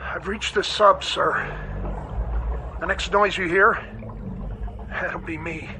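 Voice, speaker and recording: An actor playing a dutiful, anxious navy diver in a radio drama, speaking with military deference. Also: male voice, high-pitched, fairly even intonation, slow, background noise. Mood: tense, dutiful. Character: earnest, dutiful, anxious young navy diver reporting to captain.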